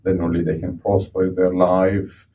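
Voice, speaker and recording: A man's voice: low-pitched, little pitch movement, moderate pace, far from the mic, narrow, tinny audio, slight background noise. A man from Kerala with a South Indian accent and Malayalam as his first language, explaining things earnestly as a guest on a radio interview, with frequent "uh" and "okay" fillers. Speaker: audiologist guest. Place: radio studio.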